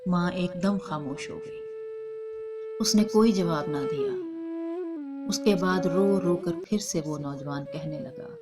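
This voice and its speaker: Fairly low woman storyteller over loud music. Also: big pitch swings, takes it slowly, long pauses. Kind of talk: scripted devotional story narration. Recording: loud instrumental flute music behind. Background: flute music.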